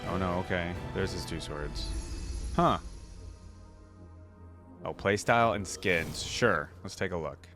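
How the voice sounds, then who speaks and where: A man's voice: sits low, slow, pauses often, over music. A man hosting a reaction video, speaking informally as he comments and reads along. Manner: informal, thinking aloud. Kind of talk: casual reaction commentary mixed with reading a story aloud.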